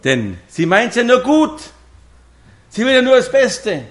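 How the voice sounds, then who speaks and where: A man's voice: very high voice, very animated, a little slow. A man, preaching a sermon to a congregation and telling stories with direct questions to his listeners. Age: 50s.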